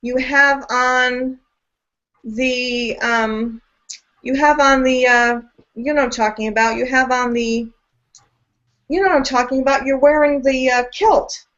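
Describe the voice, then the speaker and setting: A woman hosting an online interview, playful and excitable, repeating words as she gathers her thoughts. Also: high-pitched, unhurried, lo-fi sound. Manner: playful, excitable, repeats herself when thinking. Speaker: host. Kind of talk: casual interview chat.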